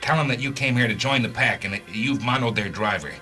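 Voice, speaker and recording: A man's voice: mid-range voice, brisk, sharp tone, lightly acted. An actor playing a determined male hunter giving terse orders to his car in a science fiction story, music behind him. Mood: tense, plotting. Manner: terse, commanding.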